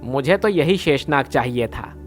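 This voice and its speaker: A man's voice: medium pitch, expressive, measured pace, soft background music, close to an everyday voice. A man playing a greedy village thief in a devotional story, brash, colloquial and grumbling. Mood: bargaining.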